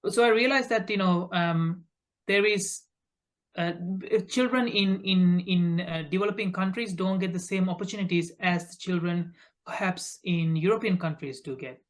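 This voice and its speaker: Sri man, halting interview talk. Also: sits high. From Sri Lanka. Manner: halting, repeats words mid-phrase. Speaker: podcast guest, recruitment company founder. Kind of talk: conversational interview answers.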